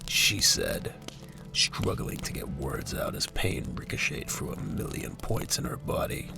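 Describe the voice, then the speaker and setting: A man's voice: extremely low pitch, very animated, unhurried, vocal fry. A man narrating a scripted audio drama in a dramatic storytelling style. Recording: music bed under the voice.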